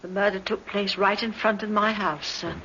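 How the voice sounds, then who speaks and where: A woman's voice: medium pitch, fairly even intonation, normal speed, sharp tone, natural voice. An actress playing an earnest, deferential woman giving witness testimony in an old radio drama, emphatic and certain. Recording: muffled old radio recording, faint noise.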